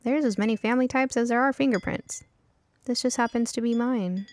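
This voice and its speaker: A woman's voice: fairly high pitch, a little fast, darkish tone, natural voice. A woman voicing a curious, precocious young girl in a storybook, earnest and direct in manner. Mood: earnest, matter-of-fact. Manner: direct, matter-of-fact, earnest. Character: curious, eager, precocious young girl who loves tinkering. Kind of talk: acted audiobook dialogue.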